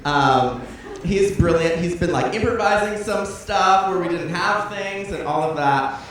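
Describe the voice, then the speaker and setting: A man in his twenties speaks from a theatre stage, heard from a distance in a very echoey space with audience noise. His voice is bright and forceful. He gives thanks in an informal, effusive, chatty way. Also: high voice, unhurried. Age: 20s. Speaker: musical writer giving thanks from the stage.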